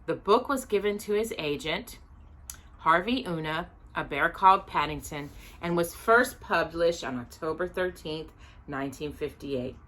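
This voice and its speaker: A woman reading a children's book aloud in an expressive, deliberate way with drawn-out emphasis. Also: low voice, a little hiss or hum. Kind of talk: expressive read-aloud children's story narration.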